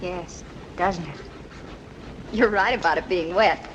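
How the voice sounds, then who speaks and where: An actress playing a sweet, coy, slightly nervous young woman with a soft, fluttery manner in 1940s film style. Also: fairly low pitch, takes it slowly. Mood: coy, dreamy. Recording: noisy old 1940s film soundtrack.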